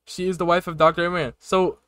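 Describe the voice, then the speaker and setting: A man's voice: sits high, little pitch movement, quick pace, a bit bright, smooth, no room sound. A young man giving casual reaction commentary in amused, slangy asides, close on a desk microphone. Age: young adult. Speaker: reaction streamer. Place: small room indoors at a desk. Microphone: desk microphone close in front, with headset. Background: game video audio with music.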